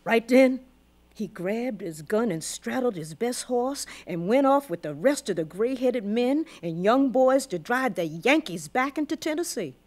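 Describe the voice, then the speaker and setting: A woman's voice: on the high side, lively intonation, unhurried, a little mellow. An actress playing a weary, proud old Southern grandmother who was once enslaved, telling her story in heartfelt folksy dialect for a radio drama. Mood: grave, remembering.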